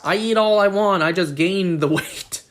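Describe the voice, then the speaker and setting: A man's voice: high voice, moderate pace. A man chatting casually on a game live stream, rambling and joking at his own expense. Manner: rambling, self-deprecating, joking.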